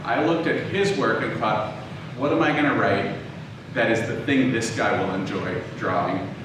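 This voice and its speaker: A man giving an informal, conversational lecture, often halting and backtracking to rephrase as he tells personal anecdotes. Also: lowish voice. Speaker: lecturer, audio drama writer and producer. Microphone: distant from the speaker. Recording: very echoey room, distant mic, clearly noisy.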